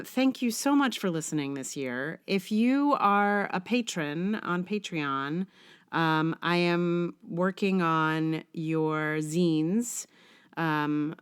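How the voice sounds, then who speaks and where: A middle-aged woman hosting a podcast, chatting casually in a halting, informal and self-deprecating way. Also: deep voice, smooth. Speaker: podcast host. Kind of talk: casual podcast outro chat.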